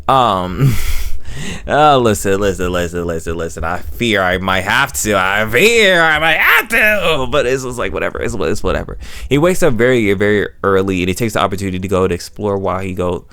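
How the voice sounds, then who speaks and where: A man's voice: lowish voice, lively intonation, moderate pace, pushed and bright, smooth. A young man with an American accent giving a casual, unscripted recap as a reviewer, rambling and repeating his phrases. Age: young adult.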